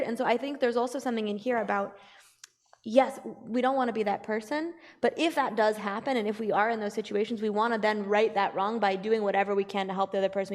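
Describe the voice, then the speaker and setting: A woman in a study class explaining her reading of a text in a reasoned way. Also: mid-range voice. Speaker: class participant. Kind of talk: class discussion. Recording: long reverb in a large hall.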